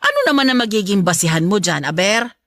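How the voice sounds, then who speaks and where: A woman's voice: medium pitch, expressive, quick pace, fairly bright tone, no echo, lightly acted. A woman playing an aging, protective and stubborn but loving Filipino mother in a radio drama, chatty and firm in a motherly way. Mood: firm, wary.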